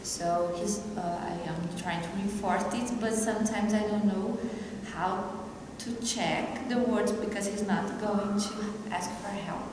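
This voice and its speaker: A Brazilian-accented woman whose first language is Portuguese speaks in a halting, searching way. She is recorded from well back in a very echoey hall, with clear background noise. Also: mid-range voice, steady tone. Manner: hesitant, halting, searching for words. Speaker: parent. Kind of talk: asking a question at a workshop. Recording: echoey hall from far off, noisy.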